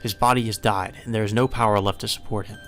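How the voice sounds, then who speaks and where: A man reading a visual novel aloud as dramatic, solemn and measured narration. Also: lowish voice, steady tone, fairly bright tone. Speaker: narrator, let's play reader. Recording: music behind the voice.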